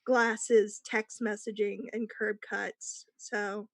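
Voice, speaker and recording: A woman's voice: mid-range voice, little pitch movement, takes it slowly. A woman panelist in a casual discussion, reflective and given to explaining her points.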